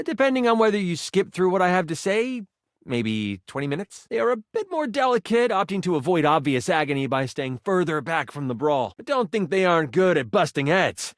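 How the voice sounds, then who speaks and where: An eager living die from a video game speaks in a high, very animated voice. He is a playful, earnest game master who slips into grand fantasy storytelling. The sound is close and dry. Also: no echo. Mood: explanatory, theatrical. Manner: expressive, playful, shifting into grand storyteller phrasing. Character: excitable, earnest twenty-sided die come to life, eager game master. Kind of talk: theatrical fantasy game narration.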